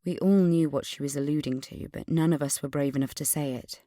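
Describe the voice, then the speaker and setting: A woman's voice: deep voice, brisk, warm tone, dry recording, studio quality, close to an everyday voice. A woman playing an angry, suspicious teenage schoolgirl in an audio drama, earnestly narrating her memories and visions and speaking in dialogue. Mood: brooding.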